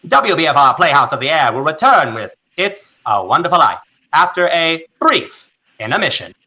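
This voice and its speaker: Casting: peppy 1940s radio ad announcer, punchy and animated, piercingly bright voice. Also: male voice, fairly high pitch, moderate pace, lo-fi sound, exaggerated voice. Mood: upbeat selling. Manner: punchy, exaggerated salesman delivery. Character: peppy old-time radio announcer pitching hair tonic. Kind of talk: 1940s radio advertisement acting.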